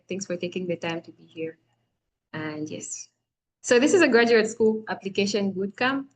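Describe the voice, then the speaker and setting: Brief: young woman from Zambia, friendly workshop host, chatty with fillers, video-call audio. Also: mid-range voice. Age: young adult. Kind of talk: online presentation.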